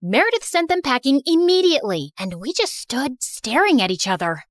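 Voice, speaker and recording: A high-voiced, very lively teenage girl played by a woman narrating an animated story. She is earnest and expressive, every line dramatically shaped, and the recording is close and dry. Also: moderate pace, dry recording. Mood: indignant. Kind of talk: dramatic first-person scripted narration.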